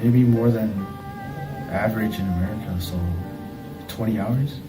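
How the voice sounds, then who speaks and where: A woman's voice: very deep voice, a little slow. An eighteen-year-old woman giving short, tentative interview answers. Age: teens. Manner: tentative, guessing. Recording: echoey hall, distant mic. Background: music.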